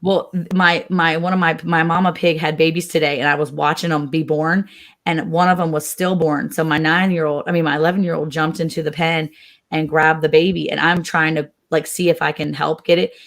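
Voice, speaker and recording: A middle-aged woman with an American accent, chatting casually and telling animated stories with acted-out quotes. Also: deep voice, a little fast. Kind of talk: casual chat, anecdotes.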